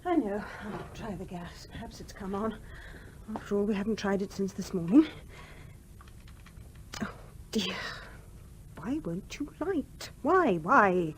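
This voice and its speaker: An actress playing a small, genteel, determined English widow in a radio play, speaking in a polite, proper manner. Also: female voice, mid-range voice, lively intonation, unhurried, long pauses, natural voice. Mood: fretful. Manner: polite, well-spoken, proper. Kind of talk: 1970s radio play acting. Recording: noisy old radio drama recording.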